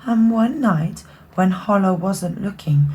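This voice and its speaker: A woman reading a children's picture book aloud expressively, dropping into hushed asides. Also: mid-range voice, takes it slowly, slight background noise. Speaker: bedtime story reader.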